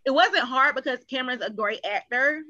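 A woman's voice: high voice, brisk, bright, forceful tone. A woman chatting casually as a cast member in an interview, on dull lo-fi audio. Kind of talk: casual chat.

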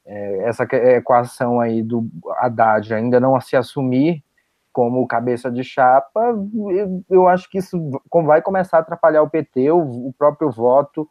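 A man's voice: medium pitch, normal speed, dry recording. Muffled Brazilian man giving commentary. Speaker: journalist on a podcast panel. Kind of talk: political commentary.

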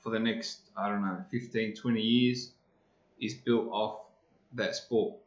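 A man's voice: lowish voice, steady tone, a little slow, echoey room, dull audio. A man hosting a podcast interview casually, with short reactions and stumbling repeats. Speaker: podcast host.

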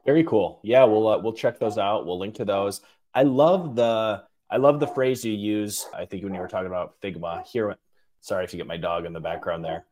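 Male interview host, low-pitched voice, conversational, with loose and meandering phrasing. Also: a little fast, a little mellow, slight background noise. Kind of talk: casual interview chat.

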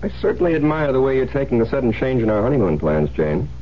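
An actor playing a genial, self-assured newlywed doctor in a 1970s radio drama. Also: male voice, medium pitch, big pitch swings, fast talker, natural voice. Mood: genial, amused. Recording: dull old radio recording, faint hum.

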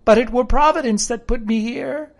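Storybook castaway seaman, played by a woman: cracked in the head, crafty, eager as a child, high-pitched rustic talk. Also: moderate pace, gravelly. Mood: earnest, rambling. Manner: rustic, ungrammatical sailor speech. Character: half-crazed marooned old sailor, sly, childishly eager man. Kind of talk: audiobook character voice.

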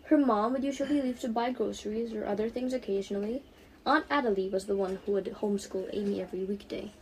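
A woman's voice: medium pitch, fast, a little mellow, slight background noise, poor audio. A fifteen-year-old young woman reading her own storybook aloud as narrator.

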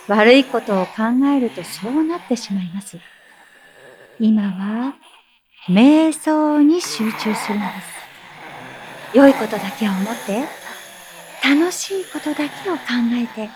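A woman playing a calm meditation teacher in an audio drama, giving measured, gentle instructions and reassurance. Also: fairly high pitch, slight background noise. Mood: calm, insistent. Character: soothing female teacher leading breathing meditation, insistently calm amid menace.

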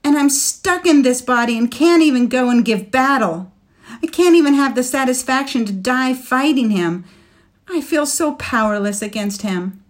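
Aina, a bitter young warrior woman full of grief and fury, voiced by a woman reading a storybook, speaks with fierce passion that swells toward a shout. Her tone sits a touch low for a woman. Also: measured pace. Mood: anguished. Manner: passionate, rising to near shouting. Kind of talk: audiobook character reading.

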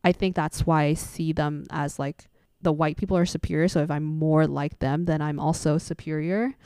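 A woman's voice: low-pitched, fairly even intonation, normal speed, mellow, clear, resonant tone, no room sound, studio quality. A young woman co-hosting a podcast, talking in a reflective, casual way with frequent "like". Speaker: podcast co-host.

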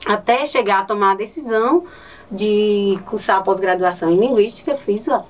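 A woman's voice: medium pitch, normal speed. A woman with a Northeastern Brazilian accent, a teacher answering interview questions earnestly and often adding "né". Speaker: teacher and poet, interview guest. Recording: distant mic with faint ambient noise.

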